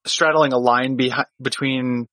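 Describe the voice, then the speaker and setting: Midwestern man, podcast guest, halting and rambling, dry close sound. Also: medium pitch, steady tone, pushed and bright, no room sound. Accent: American Midwestern. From American Midwest. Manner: rambling, hesitant, many restarts. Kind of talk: casual podcast chat.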